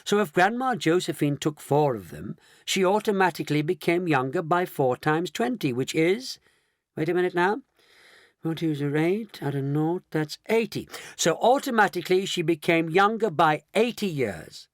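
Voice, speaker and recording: An eccentric candy inventor from a children's storybook, acted out by a man. He is fussy and courteous, with theatrical, lively intonation. The recording is dry. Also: on the high side, normal speed, no echo, pitched above the performer's own voice. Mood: exasperated explaining.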